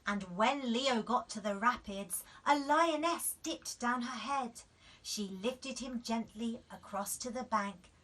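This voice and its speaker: A woman reading picture books to children as an expressive storyteller. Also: on the high side, slow pace, far from the mic, rough recording.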